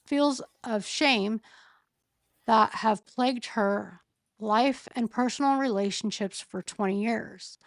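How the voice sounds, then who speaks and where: A hoarse woman reading a court filing aloud and pausing to think aloud between passages. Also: mid-range voice, no room sound. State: hoarse, losing her voice. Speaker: true-crime commentator.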